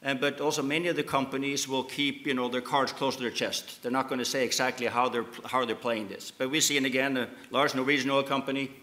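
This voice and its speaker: Bright-voiced man on a panel. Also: mid-range voice, little pitch movement, fast talker, few pauses. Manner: repeats words as he restarts. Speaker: conference panelist. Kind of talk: panel discussion answer. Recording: echoey conference hall.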